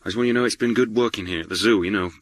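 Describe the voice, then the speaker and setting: An actor playing a pompous, self-important older zookeeper, grandiose and dramatic in a surreal comedy. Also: male voice, on the low side, dry recording, natural voice. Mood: solemn, sentimental. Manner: grandiose, drawn-out dramatic storytelling. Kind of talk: deadpan surreal sitcom dialogue.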